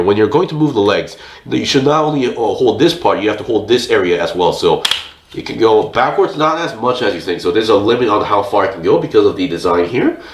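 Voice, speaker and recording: Man, low voice, hoarse, chatty hands-on toy review, echoey room, faint hiss. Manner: chatty, talks while handling the figure. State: hoarse, losing his voice. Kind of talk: casual hands-on product review.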